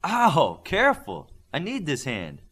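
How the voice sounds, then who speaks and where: A man's voice: fairly high pitch, wildly swooping pitch, measured pace, a bit bright, husky, voice pushed up, full character voice. A man voicing an eager young boy superhero who builds things, in an audiobook. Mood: pained.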